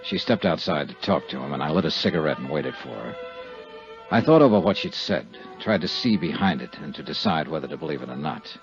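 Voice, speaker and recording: A man's voice: very deep voice, expressive, brisk. A man playing a wry, tough insurance investigator in a 1950s radio detective drama, with a clipped hard-boiled delivery. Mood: wry narration. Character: wry, tough male insurance investigator, smooth-talking, narrating his case. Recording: muffled vintage 1950s radio recording, music.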